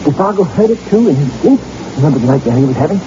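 Obstinate doctor, old-time radio horror, highish animated voice, dramatic delivery, noisy dull vintage recording. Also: male voice, very fast. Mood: confidently explaining. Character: stubborn rationalizing male doctor, slowly unravelling into dread.

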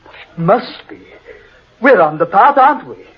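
A man's voice: sits high, sing-song, moderate pace. An actor playing an insistent, practical man leading lost companions in a 1940s radio horror drama, with emphatic delivery. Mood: doubtful. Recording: muffled old radio recording.

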